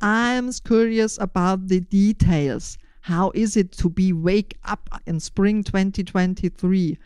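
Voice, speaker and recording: Older woman, podcast host, smooth clear voice, dry close sound, deliberate phrasing. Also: mid-range voice, darkish tone, no echo. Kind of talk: prepared podcast explanation.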